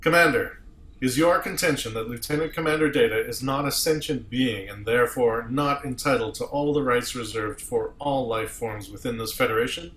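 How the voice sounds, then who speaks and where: A man reading the part of a commanding starship captain in a table read, arguing deliberately and rhetorically as a defence advocate. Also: mid-range voice, a bit bright, close to an everyday voice. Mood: insistent. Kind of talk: amateur script table read. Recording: roomy echo with clear background noise.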